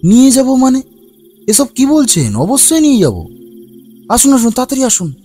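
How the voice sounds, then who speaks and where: A man voicing a sly young village thug in an animated ghost story, falsely polite and pushy. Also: very high voice, normal speed, slightly rough, faint music behind, full character voice. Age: young adult.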